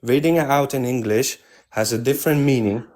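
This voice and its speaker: A man's voice: mid-range voice, a little fast, darkish tone. A man in his thirties in a heated debate over a remote call, stammering and repeating words as he pushes back. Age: 30s. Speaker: debate participant.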